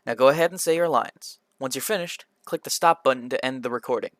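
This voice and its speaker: A man presenting a scripted software tutorial in an instructional, step-by-step manner, occasionally stumbling over a word. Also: mid-range voice, fast talker, no room sound, clean studio sound. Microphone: computer microphone in a quiet home room, voice-over.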